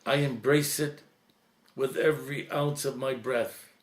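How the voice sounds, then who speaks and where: A man, a priest, giving an earnest, reflective talk, slowing and softening at emotional points. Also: mid-range voice, steady tone, echoey room. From Lebanese heritage.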